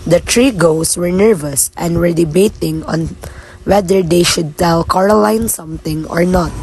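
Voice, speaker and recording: A woman narrates a puppet show story from a script. Her voice is fairly low and mellow, and there is clear background noise. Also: unhurried, poor audio.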